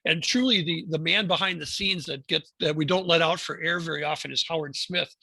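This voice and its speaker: American man, bright forward voice, slightly high pitch, informal presenter, halting with repeated words, dry close sound. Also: vocal fry, husky, no echo. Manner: halting, repeats words and phrases, hesitant delivery. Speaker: amateur radio club presenter.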